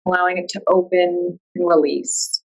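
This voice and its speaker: A woman guiding a meditation in a calm, soothing, unhurried way, leaving long pauses between instructions. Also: lowish voice, low-quality recording. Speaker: yoga nidra meditation guide. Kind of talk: guided meditation.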